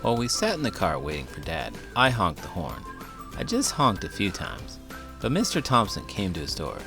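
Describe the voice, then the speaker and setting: Man, children's read-aloud host, deep voice, hugely varied intonation, theatrical, music underneath. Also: moderate pace. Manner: animated, big emotions, silly voices. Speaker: children's book reader and show host.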